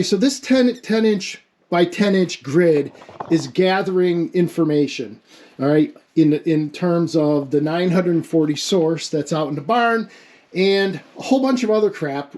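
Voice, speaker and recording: A man's voice: on the high side, a little slow, a little mellow, slight background noise. A man giving an informal hands-on technical explanation as a hobbyist, thinking aloud in a casual, self-deprecating way. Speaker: amateur radio experimenter demonstrating a homemade setup.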